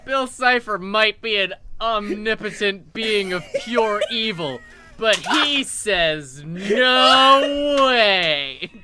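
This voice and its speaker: High-pitched, animated male comedy host. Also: very slow, background noise. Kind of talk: improvised comedic chat.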